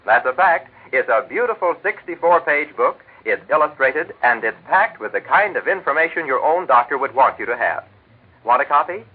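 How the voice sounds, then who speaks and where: An American man sells a sponsor's product in chatty 1940s radio style. His husky voice rises and falls freely in a folksy patter. It comes through as a narrow, phone-like old broadcast. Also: a little high, expressive, normal speed. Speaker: radio announcer and sponsor's pitchman. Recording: vintage 1940s radio broadcast, telephone-like band.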